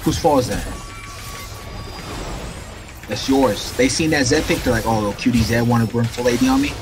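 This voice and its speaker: Blunt man coaching, mid-pitched voice. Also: normal speed, music in the background. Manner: blunt, needling, slangy. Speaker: paid game coach. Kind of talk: casual game coaching.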